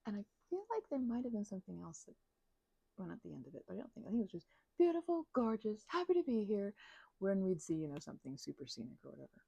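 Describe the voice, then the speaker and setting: A woman's voice: medium pitch, big pitch swings, quick pace, gravelly, mellow, slightly rough, no room sound, poor audio. A young woman chatting casually in an ASMR ramble, mumbling and hesitating as she drifts along, close to the microphone. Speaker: ASMR channel creator.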